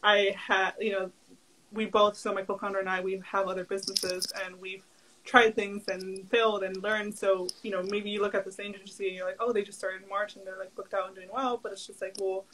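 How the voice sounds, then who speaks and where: A young woman whose first language is Spanish talks as a guest in a relaxed interview, her voice at a middling pitch. She rambles with frequent fillers and laughs easily, heard over a video call from home. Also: fairly quick, a little hiss or hum, poor audio. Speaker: guest entrepreneur. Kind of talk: casual interview chat.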